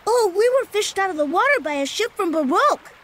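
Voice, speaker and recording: Young game boy, real high and lively, friendly and teasing. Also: brisk, exaggerated voice. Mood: excited. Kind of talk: English video game voice acting.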